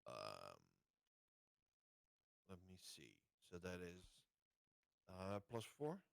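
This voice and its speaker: A man's voice: low voice, huge pitch swings, extremely slow, gravelly, clean tone, dry recording, clean studio sound. A man playing a tabletop game, thinking aloud in casual, somewhat hesitant chat.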